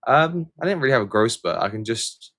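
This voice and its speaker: A man's voice: mid-range voice, sing-song, fairly quick, a bit bright, dry recording, clean studio sound. A man from England hosting a casual livestream, reading viewer comments aloud and answering them in a rambling, hesitant way full of fillers and restarts. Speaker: channel host answering viewer questions.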